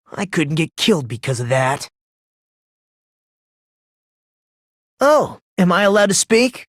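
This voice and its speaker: Casting: polite, unsettlingly excitable teenage boy for a mystery game; breathy gushing and soft musing, highly varied intonation, dry studio sound. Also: no echo. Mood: self-deprecating. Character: polite, self-loathing, eerily excitable teenage boy sleuth. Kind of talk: English video game voice acting.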